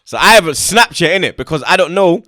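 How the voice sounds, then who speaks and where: A man's voice: high voice, lively intonation, quick pace, pushed and bright, dry recording, studio quality. A young man with a London accent, hosting a podcast and telling a casual, slangy story. He acts out the lines of the people in it. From England. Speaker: podcast host.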